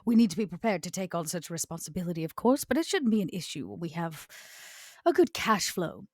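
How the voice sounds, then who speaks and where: Scheming aristocratic vampiress, a century old, for tabletop roleplay, acted by a woman; formal, deliberate delivery, husky tone, close dry sound. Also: lowish voice, very animated, darkish tone, no room sound. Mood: coolly greedy. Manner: measured, formal, deliberate phrasing.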